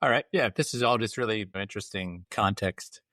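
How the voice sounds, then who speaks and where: A man hosting a podcast interview, asking earnest, measured questions. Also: fairly low pitch, bright, forceful tone, no room sound, studio quality. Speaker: podcast host.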